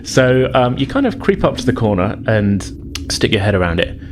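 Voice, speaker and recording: A man's voice: mid-range voice, sing-song, normal speed, a little hiss or hum. A man narrating a tabletop role-playing game as game master, in loose, hesitant, conversational phrasing.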